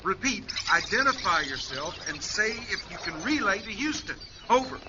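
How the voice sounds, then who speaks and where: An actor playing a stern astronaut major who talks in clipped radio protocol. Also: male voice, sits high, sing-song, moderate pace, muffled recording, heavy background noise. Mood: commanding. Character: stern male astronaut major commanding a spacecraft. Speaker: astronaut commander. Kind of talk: radio drama acting.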